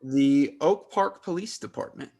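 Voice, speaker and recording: An actor playing an anxious, loyal teenage son, earnest and pleading, in a comedy table read. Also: male voice, on the high side, a little slow, creaky, a little mellow. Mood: worried.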